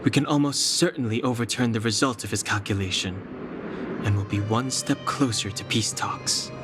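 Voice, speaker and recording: A man's voice: fairly low pitch, moderate pace, close to an everyday voice. A man playing a cool, analytical young man in a video game, speaking precisely and dryly. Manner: deliberating, precise, dry. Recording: loud background music under voice.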